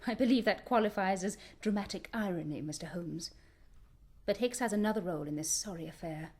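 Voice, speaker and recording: A woman's voice: mid-range voice, a little fast, a little mellow, close to an everyday voice. A woman playing a grieving Victorian actress, the lover of a murdered star, in a radio drama, speaking intensely and often sinking to a whisper.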